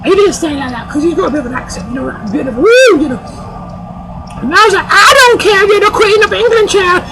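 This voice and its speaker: A performer voicing a loud, sassy older woman guest on a comic talk show, rambling and calling everyone "child". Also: very high voice, fast talker, very noisy. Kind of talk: comic sketch.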